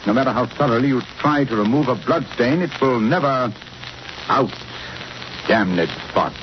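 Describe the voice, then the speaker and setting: An actor playing a confident gentleman detective, precise and theatrical, in a 1940s radio drama. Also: mid-range voice, lively intonation, moderate pace, lightly acted. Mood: confident, probing. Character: brisk, commanding, confident middle-aged gentleman detective. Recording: muffled, noisy old radio recording.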